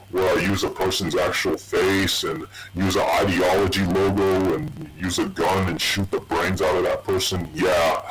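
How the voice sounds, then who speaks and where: A man rambling casually and swearing heavily through a low voice changer, recorded on a loud, distorted microphone. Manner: heavy swearing. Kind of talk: rambling casual personal monologue.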